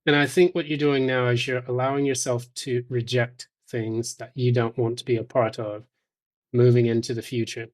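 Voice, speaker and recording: Man, medium pitch, tarot reader, addressing the listener directly, measured, halting, thoughtful pauses. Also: flat delivery, a little mellow.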